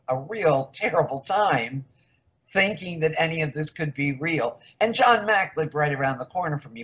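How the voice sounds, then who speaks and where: A woman's voice: deep voice, poor audio. An older woman from the United States, a researcher guest in a casual interview, rambling candidly through her story.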